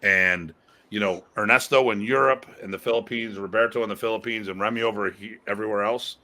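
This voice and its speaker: A man co-hosting a podcast in casual conversation, talking in an animated, emphatic way with casual swearing. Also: on the low side, brisk. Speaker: podcast co-host.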